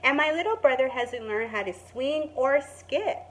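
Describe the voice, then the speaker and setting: A woman's voice: high-pitched, animated, moderate pace, a bit bright. A woman reading aloud as an earnest, plain-spoken little schoolgirl in a storybook, with simple childlike phrasing.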